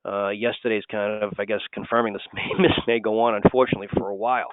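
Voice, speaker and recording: A man's voice: fairly low pitch, flat delivery, quick pace, clean tone, no room sound. Man hosting a webinar over a tinny line. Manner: loose and conversational, many "uh" and restarts. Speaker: host, wholesale company president. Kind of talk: casual webinar presentation.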